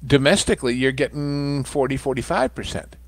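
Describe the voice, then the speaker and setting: An animated man co-hosting a casual commentary, jokey and quick to restart his words. His pitch leaps around a lot. The recording is dry and close. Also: dry recording. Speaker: podcast co-host.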